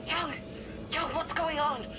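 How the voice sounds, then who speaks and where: An actress playing an anxious, protective spaceship crew member in a sci-fi horror game, with noisy ship ambience behind. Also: female voice, high-pitched, fairly even intonation, slow pace, music in the background. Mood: alarmed. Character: anxious, protective woman crew member directing colleagues from control.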